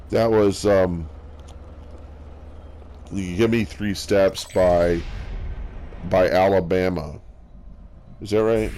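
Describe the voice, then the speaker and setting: A man with a strong bass voice, chatting casually and haltingly as a streamer, with lots of fillers and restarts. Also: noisy background. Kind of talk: casual rambling chat.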